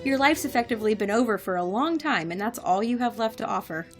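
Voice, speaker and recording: A woman's voice: fairly high pitch, expressive, fast talker, soft background music, close to an everyday voice. An actress playing a guarded, sarcastic young woman, a hardened grad-student daughter, speaking dryly and articulately in an audio drama. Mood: accusing. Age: young adult.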